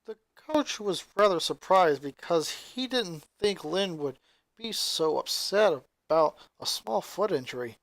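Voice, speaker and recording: A narrator reading scripted fan fiction aloud in an expressive storytelling style. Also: mid-range voice, unhurried, dry recording.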